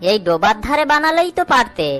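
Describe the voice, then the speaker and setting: A woman's voice: high-pitched, very animated, quick pace. A woman voicing a sly, greedy, scheming fox in a children's cartoon, with a crafty, self-satisfied way of talking. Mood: falsely friendly. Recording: music behind the voice. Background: music.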